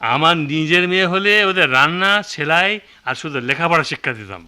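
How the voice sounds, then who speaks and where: A man's voice: a little high, a little fast, sharp tone, smooth. A man acting a stern, old-fashioned, middle-aged village father in a radio drama, scolding and complaining with a Bangladeshi accent. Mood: irritated.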